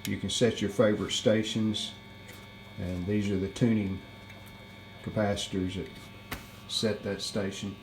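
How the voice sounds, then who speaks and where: An older man giving a hands-on repair walkthrough in plain-spoken, halting phrases. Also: fairly low pitch, level tone, slight background noise.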